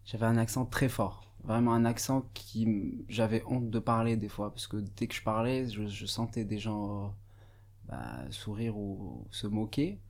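A young man from Morocco whose first language is Arabic talks as a guest in a radio studio. His voice sits a little low. He speaks hesitantly and thoughtfully, full of pauses and restarts. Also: a little mellow. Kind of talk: casual radio interview.

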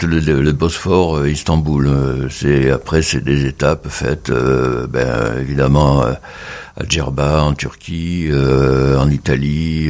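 Man, very low voice, slow pace, hesitant with fillers, interview guest. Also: vocal fry, a little mellow. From Mediterranean, between Tunisia and Marseille. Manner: halting, many pauses and fillers. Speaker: photographer, guest. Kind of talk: radio interview.

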